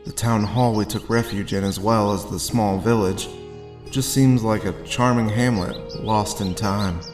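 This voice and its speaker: A man playing a hard-boiled adventurer, narrating an audio drama in a measured, dramatic first-person way. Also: fairly low pitch, lightly acted. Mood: reflective. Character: weary hard-boiled male adventurer narrating his monster fight. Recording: echoey with long reverb.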